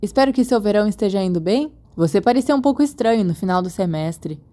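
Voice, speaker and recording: A woman's voice: medium pitch, expressive, fast talker, dark tone. A woman with a Brazilian accent giving a scripted audiobook-style narration of fan fiction. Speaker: podfic narrator.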